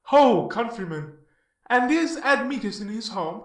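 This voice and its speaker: A man's voice: very high voice, lively intonation, unhurried, distant mic. A performer playing a bold, confident travelling hero of Greek myth in declaimed verse drama, as a man. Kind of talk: classical verse drama reading. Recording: echoey room, off the microphone.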